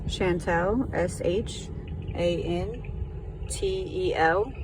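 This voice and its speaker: Hesitant woman caller with background noise. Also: on the low side. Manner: hesitant, halting. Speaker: caller reporting to sheriff's department. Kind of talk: explanatory phone conversation. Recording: noisy phone call, car and traffic noise.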